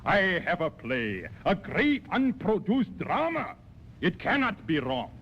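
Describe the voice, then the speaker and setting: An actor playing a self-important, scheming playwright in 1940s radio comedy style, with pompous, declamatory delivery. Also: male voice, sits high, wildly swooping pitch, slow, full character voice. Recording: muffled, faintly noisy 1940s radio recording.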